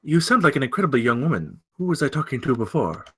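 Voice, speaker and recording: A man's voice: medium pitch, big pitch swings, fast talker, pushed and bright, slightly rough, no room sound, full character voice. A man voicing a polite older male scientist who speaks in formal, wordy sentences at a live script reading. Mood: curious. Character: older silver-haired scientist, male, courteous, bookish, wounded.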